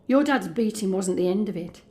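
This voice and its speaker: A woman voicing a traumatised, vengeful middle-aged mother, flat, hushed and bitter, in an audio drama. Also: medium pitch, moderate pace, natural voice. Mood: grim, controlled. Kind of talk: recounted dialogue in audio drama.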